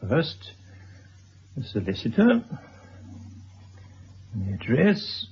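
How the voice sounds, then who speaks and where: Slow, courteous, dignified gentleman. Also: mid-range voice, huge pitch swings, creaky, heavily acted. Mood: formal, firm. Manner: formal, measured, polite. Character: courteous, resolute, dignified former schoolmaster, gravely composed. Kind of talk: vintage radio drama acting. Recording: dull, faintly noisy old radio recording.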